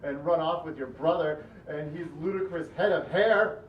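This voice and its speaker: Man as high-pitched judgmental sitcom relative, echoey. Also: moderate pace, slight background noise, close to an everyday voice. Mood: indignant. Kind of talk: comedy script table read. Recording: distant, echoey, muffled live recording.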